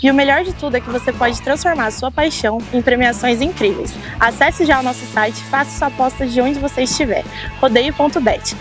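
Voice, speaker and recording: A woman's voice: high voice, brisk, over music. A woman voicing a scripted, upbeat advertisement. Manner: upbeat, selling. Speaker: ad voice-over.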